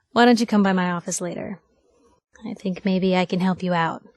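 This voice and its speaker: A woman's voice: fairly low pitch, dry recording, lightly acted. An actress playing a spirited, nosy young woman reporter in a video game, talking in a wry, inquisitive, punchy and colloquial way. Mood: probing, coy. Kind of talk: video game companion dialogue.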